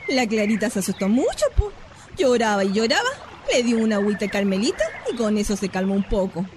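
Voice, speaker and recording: A woman playing a worried, protective, poor mother and neighbour in a radio drama, colloquial and expressive with a Chilean accent. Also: medium pitch, a little fast, natural voice. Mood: shaken. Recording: clear ambient noise behind voice.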